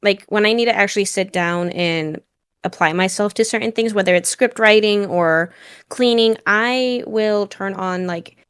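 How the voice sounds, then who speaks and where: A woman with a smooth voice and a smiling delivery, chatting casually as an interview guest. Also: mid-range voice, normal speed, fairly bright tone, no echo, clean studio sound. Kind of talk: casual chat.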